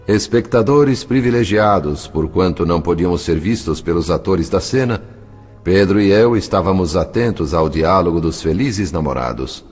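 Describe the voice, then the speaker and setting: A man narrating a dramatised audiobook in a measured, literary, expressive way. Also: deep voice, faint music behind.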